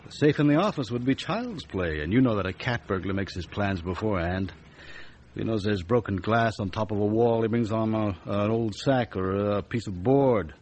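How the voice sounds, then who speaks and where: A man's voice: lowish voice, animated, moderate pace, darkish tone. A man playing a shrewd, plain-spoken police investigator in a detective radio drama, blunt, matter-of-fact and inquisitive in conversation. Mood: shrewd, probing.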